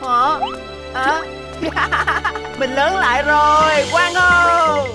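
A high, excitable little boy bus. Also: very slow. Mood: excited. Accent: southern Vietnamese. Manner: exclamatory, childlike. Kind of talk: children's cartoon dub. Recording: loud music behind.

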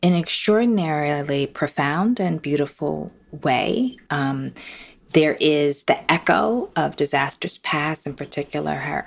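A woman's voice: deep voice, vocal fry. A woman professor giving a thoughtful, unscripted explanation as a guest expert.

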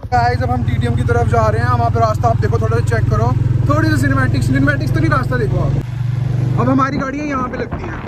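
Young man, twenties, fairly high voice, chatty campus vlog, phone at arm's length, outdoor noise. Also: fast talker, a loud crowd. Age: 20s. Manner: chatty, playful, teasing friends. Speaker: student vlogger. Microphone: phone held at arm's length.

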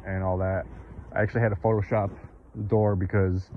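A man's voice: lowish voice, little pitch movement, fairly quick. A man who runs a tent rental business, talking frankly with a client during an outdoor site visit. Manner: blunt, practical, explains business frankly. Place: outdoors at a snowy rural site. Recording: tinny phone-like sound, faint outdoor noise.

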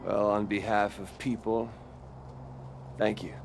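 A man's voice: on the low side, little pitch movement, takes it slowly, creaky, noisy background. An actor playing a cocky, wisecracking young American male superhero in a video game, with flippant, casual delivery. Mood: cocky, wry. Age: young adult.

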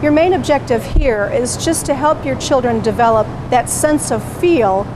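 High-voiced woman teaching in a noisy store. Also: moderate pace. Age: middle-aged. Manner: clear, patient, teacherly. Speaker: parent educator. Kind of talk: instructional presentation to camera. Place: grocery store produce section, indoors.

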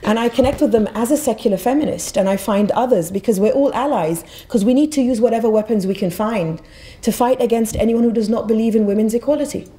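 A woman's voice: fairly high pitch, fast, dark tone, poor audio. A middle-aged woman from Egypt giving an emphatic, passionate talk at a podium in an auditorium. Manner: passionate, emphatic, repeats words when restarting. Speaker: guest speaker. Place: auditorium stage. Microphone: podium mic.

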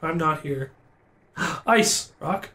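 A man's voice: fairly high pitch, animated, very slow, sharp tone. A young man casually commentating a video game, rambling and joking as he reads dialogue aloud. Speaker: let's play commentator. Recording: roomy with game music behind.

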